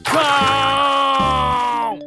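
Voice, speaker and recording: A man's voice: extremely high pitch, flat delivery, very slow, over loud music, heavily acted. A man voicing a hungry, scheming wolf villain in a children's cartoon, theatrical and menacing. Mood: dismayed.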